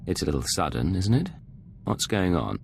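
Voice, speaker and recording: Cool imperial spy, very low gravelly voice, clipped and controlled, game dialogue. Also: male voice, very animated, normal speed, slight background noise, heavily acted. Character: cool, terse male imperial intelligence agent. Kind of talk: video game dialogue acting.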